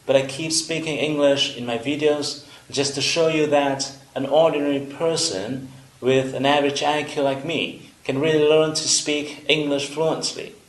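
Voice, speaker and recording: A man from Vietnam with a Vietnamese accent, a native Vietnamese speaker, teaching an English lesson in a deliberate, explanatory way with pauses between phrases. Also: fairly high pitch, steady tone. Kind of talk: instructional video lesson. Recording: roomy, echoing room sound.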